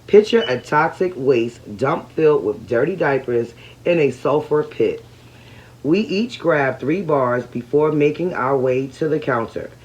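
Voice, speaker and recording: A woman's voice: rumbling low, darkish tone, rough recording. A woman reading a novel aloud fluently, acting out the quoted dialogue with some expression. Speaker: reading helper correcting a learner.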